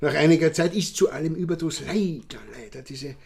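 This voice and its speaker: An actor playing a genial, self-satisfied count with an Austrian accent, courtly, chummy and long-winded in a radio drama. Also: male voice, on the high side, fairly quick, vocal fry. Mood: smooth, evasive. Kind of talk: German-language radio drama acting.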